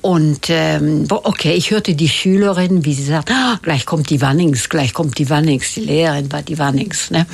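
Older woman, gravelly husky voice, low-ish pitch, hesitant fillers. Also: normal speed, hardly any pauses. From Italy. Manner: hesitant, many fillers and restarts. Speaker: guest. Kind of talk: casual interview chat.

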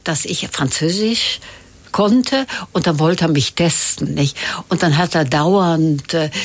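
An older woman born in Italy, whose first language is Italian, speaks German as an interview guest and tells stories in a lively way, full of fillers and repeated words. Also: on the low side, breathy edge. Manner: lively storytelling with many fillers and repetitions. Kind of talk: casual interview conversation.

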